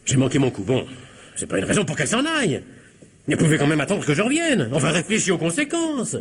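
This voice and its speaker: A man's voice: fairly high pitch, wildly swooping pitch, fast, a little hiss or hum. An actor playing a nervous, scheming husband in a comic radio mystery, stammering and repeating himself as he frets. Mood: agitated reasoning. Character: nervous, scheming husband and son who botched killing his wife. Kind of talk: French comic radio mystery play acting.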